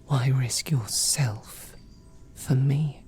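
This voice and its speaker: A woman's voice: rumbling low, extremely slow, faint music behind, heavily acted. A woman voicing a devoted, whispering royal knight. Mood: earnest, pleading. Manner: soft, intimate, earnest questioning. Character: devoted female royal knight, protective, snarky. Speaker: knight in an audio roleplay. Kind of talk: whispered audio roleplay.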